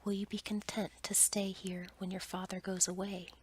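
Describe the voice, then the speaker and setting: A woman voicing a stern, formal male beast from a storybook in a breathy ASMR whisper. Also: mid-range voice, little pitch movement, a little mellow, close to an everyday voice. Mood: formal, questioning. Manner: measured, formal, commanding. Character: stern, commanding male beast, lord of a palace. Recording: noticeable background noise.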